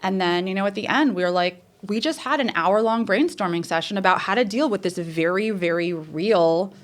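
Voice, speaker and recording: Woman guest, lower-toned, chatty storyteller, loose and full of fillers. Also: moderate pace. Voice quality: says she has a lower voice. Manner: lively, chatty, many fillers and restarts. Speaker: theater professor, guest. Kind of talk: casual interview storytelling.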